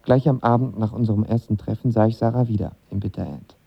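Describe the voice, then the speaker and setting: A man's voice: fairly low pitch, fairly even intonation, quick pace, gravelly, slightly rough, no room sound, muffled recording. An actor playing a lovestruck young man of twenty who tells his story in casual slang in a radio drama. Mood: reflective. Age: young adult. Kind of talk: radio drama narration and dialogue.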